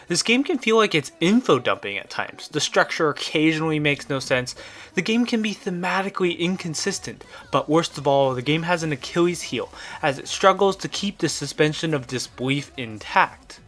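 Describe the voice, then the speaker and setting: A man's voice: mid-range voice, fairly bright tone. Man narrating a scripted game review.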